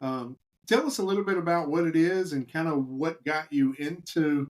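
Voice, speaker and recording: A man's voice: a little high, moderate pace. A man co-hosting a podcast, chatting casually with his co-hosts and introducing a guest, often stumbling over and repeating words. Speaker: podcast co-host. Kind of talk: casual podcast banter and interviewing.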